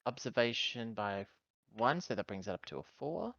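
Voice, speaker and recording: A man's voice: medium pitch, lively intonation, moderate pace, creaky, fairly bright tone, dull audio. A man chatting casually as a player in a tabletop roleplaying game.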